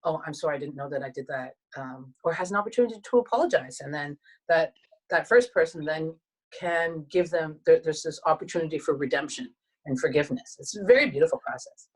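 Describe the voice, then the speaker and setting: A woman in her thirties from Canada, talking casually and reflectively as a guest, with many pauses and restarts. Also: deep voice, lively intonation, breathy edge, rough recording. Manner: soft-spoken, reflective, many fillers and restarts. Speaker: guest, teacher and coach. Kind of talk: casual conversation.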